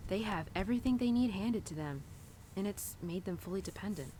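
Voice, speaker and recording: A woman's voice: mid-range voice, animated, a little fast, noisy background, close to an everyday voice. A woman playing a young, animal-loving cowgirl who confides tenderly in her horse in an intimate, casual, rambling audio roleplay. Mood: admiring, earnest. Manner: casual, rambling, sighing and giggly.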